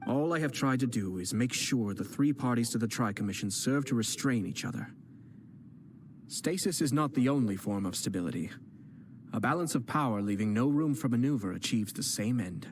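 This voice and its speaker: An actor playing a poised young clan head and cunning strategist, speaking in a measured, courteous way in video game dialogue. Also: male voice, mid-range voice, expressive, slight background noise, lightly acted. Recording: game dialogue with music underneath.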